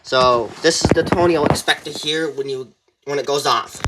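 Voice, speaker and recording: A man's voice: fairly high pitch, moderate pace. A man giving a casual hands-on tutorial in an informal, folksy, rambling way, recorded on a handheld camera. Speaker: tutorial presenter. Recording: clear background noise, handling clicks.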